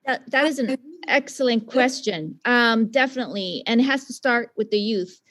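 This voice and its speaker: A woman's voice: fairly high pitch, moderate pace, a bit bright. A woman from the South Bronx speaking as a scientist and teacher on a webinar panel, passionate and emphatic in her answer. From South Bronx, New York.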